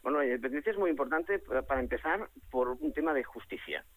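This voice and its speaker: Young adult man from Catalonia, husky, dry close sound, animated and jokey, restarts a lot. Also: medium pitch, quick pace, no echo. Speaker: guest, comedian behind a satirical superhero character. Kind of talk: casual interview talk.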